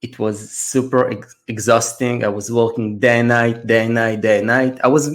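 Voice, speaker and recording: A man's voice: medium pitch, little pitch movement, moderate pace. A man in his thirties from Russia with a Russian accent, telling his life story earnestly as a guest on a casual trading podcast. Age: 30s. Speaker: trader and mentor, podcast guest.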